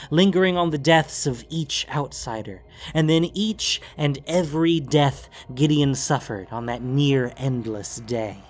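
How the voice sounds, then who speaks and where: A man narrating a scripted fantasy story in a solemn, dramatic way with deliberate phrasing. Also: medium pitch. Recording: music bed under the voice. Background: background music.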